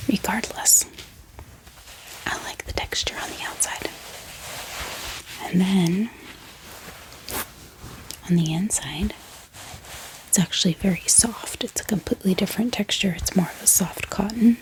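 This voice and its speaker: Whispering woman, soft ASMR delivery. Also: mid-range voice, poor audio. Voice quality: breathy, unvoiced whisper. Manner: unhurried, gentle, repeats words softly. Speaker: book haul video host. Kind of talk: whispered ASMR product showcase.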